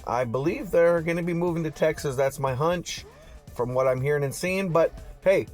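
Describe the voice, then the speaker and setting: A man presents car news as a casual YouTube host. His voice sits a little high and his talk is slangy and chatty, addressed straight to viewers, with music playing behind him. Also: normal speed, darkish tone. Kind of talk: casual vlog commentary.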